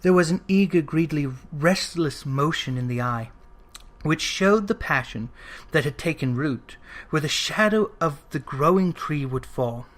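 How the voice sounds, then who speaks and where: Storybook narrator, medium pitch, theatrical, emphatic, classic Victorian fiction. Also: lively intonation, measured pace. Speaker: audiobook reader.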